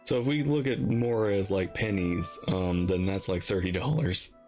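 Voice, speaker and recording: A man's voice: low voice, moderate pace, darkish tone. A man casually commentating as he reacts to a video game, with game music behind him. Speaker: gaming reaction streamer.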